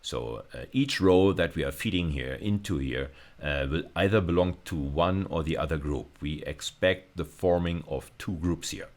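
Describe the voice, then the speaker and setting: Very low-voiced man giving a technical lecture. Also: moderate pace, few pauses. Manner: hesitant, explanatory, repeats and rephrases phrases. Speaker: university lecturer. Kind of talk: informal technical screencast lecture.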